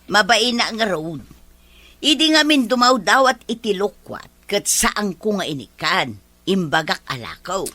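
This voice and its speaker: A woman's voice: mid-range voice, animated, unhurried, sharp tone, no room sound. A woman playing a troubled, shamefaced village woman in a radio drama. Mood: troubled, ashamed.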